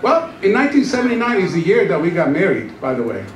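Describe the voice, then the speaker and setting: Storytelling pastor in an echoey church hall. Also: male voice, high-pitched, fast talker, far from the mic, crowd noise. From Iran. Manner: storytelling, joking, animated gestures while pacing the stage. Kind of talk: humorous testimony sermon. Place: church stage, large room. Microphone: handheld microphone.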